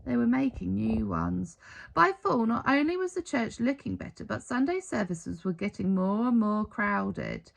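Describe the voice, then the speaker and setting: Creaky-voiced woman reading a storybook aloud. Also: medium pitch, animated, measured pace. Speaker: storybook reader. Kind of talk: expressive children's read-aloud.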